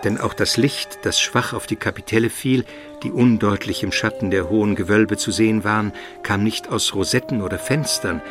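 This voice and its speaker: A man's voice: on the low side, moderate pace, music in the background, close to an everyday voice. A man playing an educated Byzantine minister who narrates his memories solemnly and vividly in a radio play. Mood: measured, awed. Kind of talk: dramatic scripted first-person narration, radio play.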